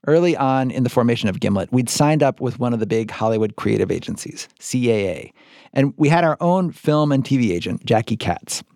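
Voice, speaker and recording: A man's voice: mid-range voice, expressive, a little fast, gravelly, mellow, no echo, studio quality. An American man hosting and narrating a podcast in a scripted but conversational storytelling style.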